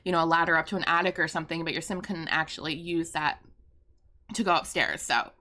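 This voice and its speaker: A young American woman, a little hoarse, chatting casually and rambling with fillers as she talks through a building video from her home setup. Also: sits low, steady tone, fast, pushed and bright. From United States. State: slightly hoarse. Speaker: YouTuber and streamer. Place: home room with pastel backdrop and fairy lights.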